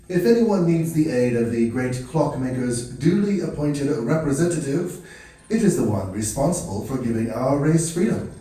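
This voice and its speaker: A man's voice: mid-range voice, animated, warm tone, close to an everyday voice. Man playing a solemn, echoing clockwork priest. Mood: kindly, solemn. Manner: measured, formal, solemn. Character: calm, priestly counsellor of clockwork race, subtly menacing. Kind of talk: fantasy audio drama. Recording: cavernous echo, distant from mic.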